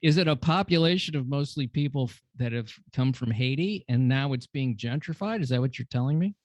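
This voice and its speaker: A man hosting a podcast, introducing the show and guest in a relaxed way, then asking questions. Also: mid-range voice, fairly quick, dry recording. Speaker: podcast host.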